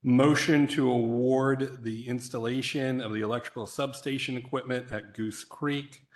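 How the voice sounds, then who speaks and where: A man reading formal motions aloud at a council meeting in a flat, formal way. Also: mid-range voice, normal speed.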